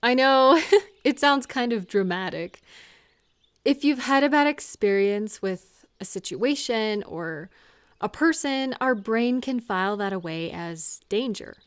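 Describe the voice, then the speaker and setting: A woman playing a caring, slightly awkward friend in a comfort audio roleplay, speaking one-on-one in a gentle, earnest, hesitant and reassuring way. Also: on the high side, animated, a little slow, clear, resonant tone, dry recording. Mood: explaining gently.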